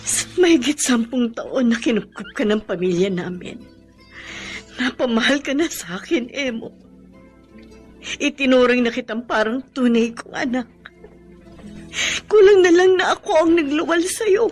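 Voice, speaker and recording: A woman's voice: high-pitched, expressive, measured pace, pauses often, faint music behind. A Filipino woman playing a loving, worried foster mother in a radio drama, tender and emotional. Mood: tearful, loving.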